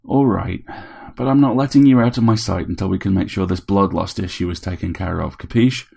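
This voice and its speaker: A man's voice: low voice, fairly quick, gravelly, dark tone, husky, heavily acted. A man voicing a middle-aged, washed-up programmer in an audiobook story, wry and scrappy. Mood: gruff, softening.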